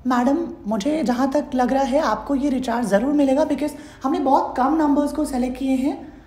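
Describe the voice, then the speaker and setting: A man's voice: extremely high pitch, moderate pace, a little mellow, pitched above the performer's own voice. A man voicing a polite, patient female call-centre agent in a prank phone call. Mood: coaxing. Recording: roomy indoor echo.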